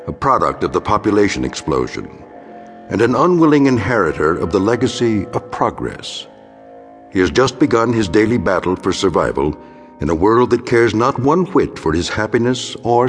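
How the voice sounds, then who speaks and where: An actor serving as a grave, wry narrator of eerie radio drama tales, measured and portentous. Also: male voice, fairly low pitch.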